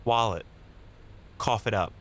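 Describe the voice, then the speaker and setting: A man's voice: fairly low pitch, a little slow, a bit bright. A man playing a young mugger in an audio drama, cocky, mock-polite and menacing. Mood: threatening. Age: young adult.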